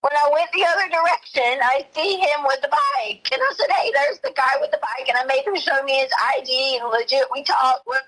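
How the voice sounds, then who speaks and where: A woman on a recorded phone call, giving a rambling, halting personal account and quoting herself and others as she goes. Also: high-pitched, lively intonation, a bit bright.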